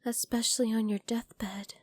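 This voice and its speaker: A woman plays an ageless female fox spirit with a high, mellow voice, clinging and devoted to her master. She speaks tenderly and very intimately to one listener, in the close, dry sound of an ASMR roleplay. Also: level tone, normal speed, dry recording, natural voice. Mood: tender, wistful. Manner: soft, tender, intimate, addressing the listener directly.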